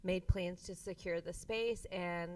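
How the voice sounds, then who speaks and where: A woman judging a youth contest, polite and measured as she asks about planning. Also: lowish voice, steady tone, recorded from a distance, a little hiss or hum. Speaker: contest judge.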